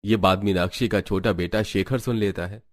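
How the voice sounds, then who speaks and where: A man's voice: on the low side, fairly even intonation, normal speed. A man reading scripted narration as the storyteller of a family tale.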